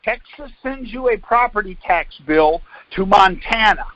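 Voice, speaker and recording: High-pitched man, insistent and corrective. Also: expressive, a little slow, sharp tone, dry recording, muffled recording. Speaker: call leader and instructor. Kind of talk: explaining and debating on a conference call.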